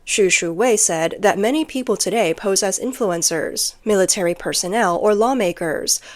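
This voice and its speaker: A woman reading scripted news narration, including translated quotes, as the narrator of a report. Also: mid-range voice.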